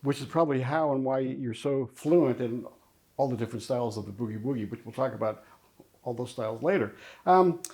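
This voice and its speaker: A man's voice: mid-range voice, brisk, a little mellow. A middle-aged or older man hosting an interview in a conversational style. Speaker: interview host.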